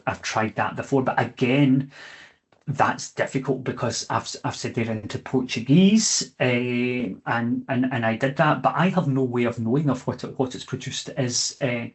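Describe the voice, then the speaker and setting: Chatty male chemistry teacher. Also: mid-range voice. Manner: conversational, checks in with "okay?" and fills pauses with "eh". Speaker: chemistry teacher giving a training session. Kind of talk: online webinar presentation.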